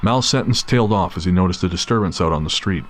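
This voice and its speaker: A man's voice: low voice, fast talker. A man narrating a scripted audio drama as the storyteller.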